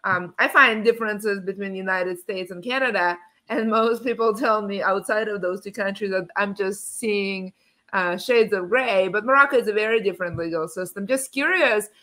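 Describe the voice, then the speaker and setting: Bright-voiced enthusiastic woman host on a video call. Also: mid-range voice, moderate pace. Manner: enthusiastic, effusive. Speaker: podcast host. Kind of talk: casual video-call interview. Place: home room with windows, indoors.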